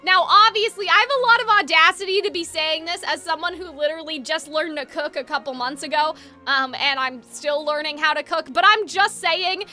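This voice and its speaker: A woman giving casual live gaming commentary in an animated, rambling, opinionated way. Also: very high-pitched, a little fast, hardly any pauses. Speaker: game streamer. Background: game music.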